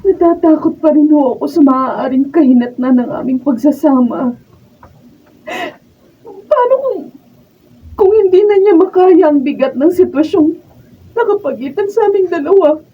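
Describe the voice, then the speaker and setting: An actress playing an anxious young wife in a Filipino radio drama, hesitant, emotional and pleading. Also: female voice, high-pitched, lively intonation, moderate pace, long pauses, far from the mic. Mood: fearful. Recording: thin phone-like sound, off-mic.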